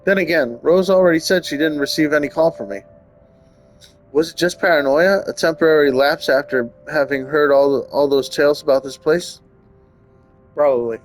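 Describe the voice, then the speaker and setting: A man's voice: a little high, moderate pace, rough recording. A man hosting a gaming video, reading game text aloud and adding casual comments. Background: game music.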